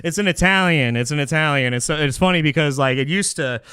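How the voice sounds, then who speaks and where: Smooth-voiced man chatting, close and dry. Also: on the high side, brisk, sharp tone, no room sound. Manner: profane, rambling, jokey bro-speak. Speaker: podcast host. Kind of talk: casual comedic chat.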